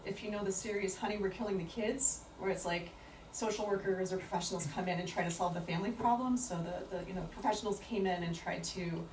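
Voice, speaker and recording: A woman's voice: medium pitch, quick pace, a little mellow. A woman answers an audience question at a book talk, thinking aloud and restarting her sentences often. She sounds set back from the microphone in a reverberant room. A faint hiss sits under her voice. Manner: thoughtful, many fillers and restarts. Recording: echoey room from far off with faint noise.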